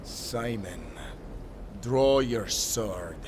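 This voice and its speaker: A man's voice: mid-range voice, extremely slow, noisy background. A man playing a brave, resolute sailor hero in an audio drama, with an earnest, dramatic delivery. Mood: grim, determined. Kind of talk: cinematic audio roleplay acting.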